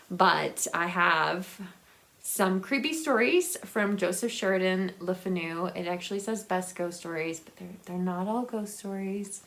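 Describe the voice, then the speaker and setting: A woman's voice: lowish voice, fairly bright tone. A woman chatting casually and enthusiastically about books as a vlog host, in a rambling, giggly, unscripted way. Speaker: book vlogger and host. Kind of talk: casual unscripted book chat.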